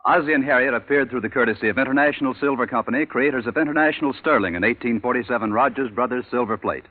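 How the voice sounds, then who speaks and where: A man's voice: medium pitch, racing. A man reading scripted credits as a network announcer on 1940s radio. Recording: tinny, band-limited old radio broadcast.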